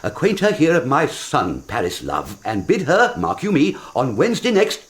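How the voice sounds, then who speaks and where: An actor playing a blustering, impulsive older lord in a radio Shakespeare drama, rambling with abrupt exclamations. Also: male voice, medium pitch, wildly swooping pitch, normal speed. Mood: brisk, scheming.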